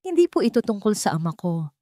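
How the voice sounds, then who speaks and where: A woman narrator voicing a polite, calm and determined young man in a dramatic audio storybook. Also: medium pitch, quick pace, no room sound, studio quality, pitched above the performer's own voice, close to an everyday voice. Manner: calm, respectful.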